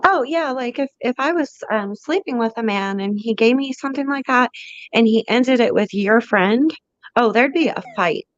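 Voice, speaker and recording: A woman's voice: fairly high pitch, moderate pace, no echo. A woman from the West Coast, a guest chatting casually and talkatively in a live stream. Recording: dull dry call audio.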